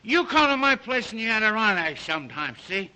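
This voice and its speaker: An actor playing a tipsy, boastful American playboy, slangy and boisterous, in old comic-relief style. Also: male voice, sits high, sing-song, a little fast, sharp tone, slight background noise, exaggerated voice. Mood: chummy, boastful. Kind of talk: old film-era comic-relief acting.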